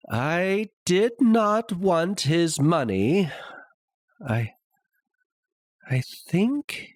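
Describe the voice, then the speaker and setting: Man acting a halting, husky madman narrator. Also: fairly low pitch, no room sound, exaggerated voice. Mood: halting, uneasy. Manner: halting, theatrical, with long pauses and sudden swells. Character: obsessed madman confessing a murder, insisting he is sane. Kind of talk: dramatic reading of a classic horror story.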